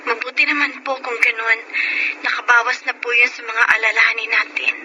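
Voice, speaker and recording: A woman acting a grieving, respectful daughter and elder sister in a Filipino radio drama, with a Filipino accent and a tearful, halting delivery. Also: on the high side, steady tone, dull audio, background noise, lightly acted. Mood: subdued, hesitant.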